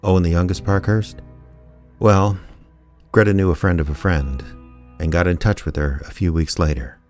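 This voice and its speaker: Man acting as aging private eye, low voice, dry, sardonic, gruff but kindly, radio drama. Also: fairly even intonation, moderate pace, darkish tone, soft background music, close to an everyday voice. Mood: wry.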